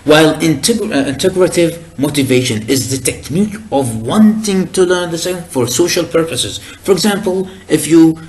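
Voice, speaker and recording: A man whose first language is Arabic, giving a recorded lecture in a deliberate, explanatory way with frequent hesitations and restarts. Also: fairly high pitch, lively intonation.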